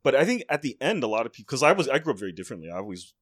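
A man's voice: mid-range voice, big pitch swings, racing, no echo, clean studio sound. A man co-hosting a casual podcast, rambling and breaking off his own sentences. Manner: rambling, self-interrupting.

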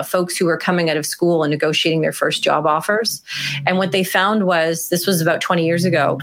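A woman author being interviewed, talking in an animated, emphatic way with small fillers. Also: low voice. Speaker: author and guest. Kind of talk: conversational interview answers.

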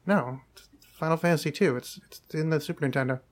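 A man's voice: a little high, quick pace. A young man hosting a casual podcast chat, rambling and self-mocking, swearing and laughing at his own jokes. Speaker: podcast host.

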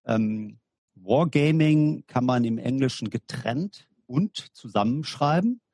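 A man's voice: mid-range voice, expressive, slow, vocal fry, darkish tone, no echo, studio quality. A man from Germany, a guest on a podcast interview, explaining his field at length in a hesitant way with many fillers. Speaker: guest, war gaming lecturer.

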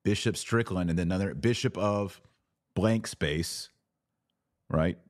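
A man with a low-pitched voice hosts a live show in a conversational, direct style. He urges his listeners along as he moves through comments and commentary. The sound is dry, with very little room in it. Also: measured pace, dry recording. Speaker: podcast host. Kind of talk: casual live commentary, reading and answering viewer comments.

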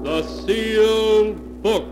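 A man's voice: high-pitched, extremely slow, over loud music. A man announcing a 1940s radio horror series in an ominous, dramatic manner. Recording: old radio broadcast recording.

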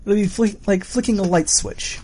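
A man's voice: high voice, moderate pace, dark tone, breathy edge, voice pushed up. A man voicing a sadistic, playful villain in an audiobook story, musing and taunting in a theatrical way. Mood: coaxing threat. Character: sadistic, playful adult male killer, theatrically menacing villain.

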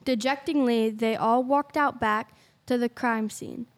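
A high female voice narrates a scripted radio play. The delivery is that of a storyteller in an amateur youth production. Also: fairly even intonation, measured pace.

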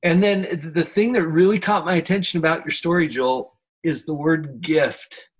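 A male interview host with a fairly high voice. He affirms his guest warmly and restates the guest's points in measured, encouraging phrases. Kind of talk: conversational interview.

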